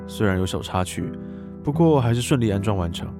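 An actor playing a polite young tutor who secretly observes others, narrating and talking in a scripted audio drama. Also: male voice, fairly low pitch, lively intonation, brisk, over music. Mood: detached, observing. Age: young adult.

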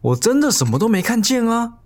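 Playful, excitable young man for audio drama. Teasing, easily flustered, swooping intonation. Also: very high-pitched, brisk, heavily acted. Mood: playful grumbling. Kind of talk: Mandarin audio romance drama acting.

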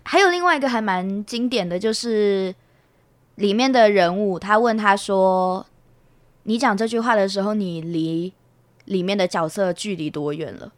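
A young woman gives casual, explanatory answers as a student guest in an interview. Her voice sits at an average pitch and is recorded dry, with hardly any room. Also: moderate pace, no echo. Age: young adult.

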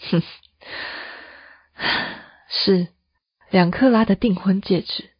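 A woman's voice: medium pitch, extremely slow, slightly rough. Woman playing a cool reserved bar boss, few words, taiwanese accent, audio drama, slightly dull recording. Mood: cool, curt. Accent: Taiwanese Mandarin. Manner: terse, composed, understated. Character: cool, reserved, protective female bar manager.

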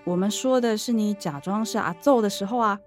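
An actress playing a soft-hearted middle-aged mother who placates her daughters, with a Taiwanese accent, in a radio drama. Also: medium pitch, brisk, faint music behind, lightly acted. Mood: hushed, concerned.

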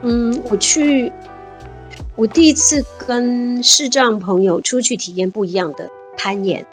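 A woman's voice: fairly high pitch, unhurried, faint music behind. A woman in her fifties with a Taiwanese Mandarin accent, a radio interview guest who tells stories from her childhood and her adventures in an earnest, exclaiming way. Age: 50s. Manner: earnest, warm-hearted, often exclaiming. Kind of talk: reminiscing conversational storytelling.

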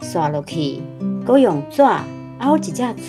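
A woman's voice: medium pitch, big pitch swings, measured pace, over music. A woman with a Taiwanese accent playing a fond mother telling childhood memories in a children's radio drama. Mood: wistful, delighted. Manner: chuckling storyteller. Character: fond nostalgic mother recalling her childhood.

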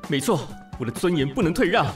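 A man's voice: a little high, sing-song, fast talker, distant mic, faint music behind. A man voicing personified Dignity in an audio drama, a prim, stern conscience with formal, scolding delivery. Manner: formal, admonishing.

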